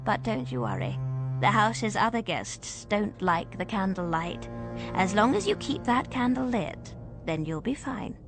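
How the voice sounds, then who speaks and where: A woman playing a quietly menacing old Scottish landlady in a ghost story, speaking in a slow, hushed, ominous way. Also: mid-range voice, very animated, music in the background. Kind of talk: dramatised ghost story reading.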